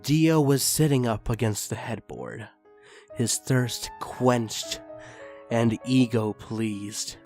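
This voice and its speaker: A male reader narrates a fan story in a middle-range voice, swelling with feeling on the intense parts. Soft music plays faintly underneath. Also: mid-range voice, slow. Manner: expressive, swells on intense passages. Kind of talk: dramatic reading of fan fiction.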